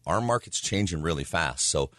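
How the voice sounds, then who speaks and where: A man with a hoarse, raspy voice, talking casually as a real estate agent on a radio show, rambling with lots of fillers. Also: low voice, animated, moderate pace, fairly bright tone, no room sound, studio quality. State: hoarse from yelling.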